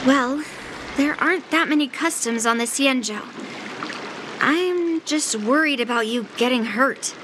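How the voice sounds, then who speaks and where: Game casting: cocky young sword mistress, high-voiced, slow and blunt, noisy ambience. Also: female voice. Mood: stern. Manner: blunt, teasing, self-assured. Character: blunt, confident young female sword master. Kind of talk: English anime game voice acting.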